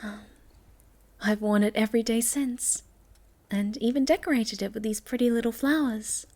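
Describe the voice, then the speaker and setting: A woman playing a sweet, shy young moth girl in an ASMR roleplay, speaking gently and hesitantly, often dropping to a breathy near-whisper. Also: fairly high pitch, steady tone, normal speed. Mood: tender, wistful. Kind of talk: ASMR roleplay, soft intimate storytelling to the listener.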